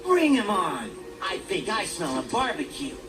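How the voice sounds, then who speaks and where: A man's voice: sits high, huge pitch swings, a little slow, far from the mic, full character voice. A man voicing a brash, wisecracking young dragon hero in a video game cutscene. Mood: cocky. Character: cocky young male purple dragon hero. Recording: roomy game audio with music.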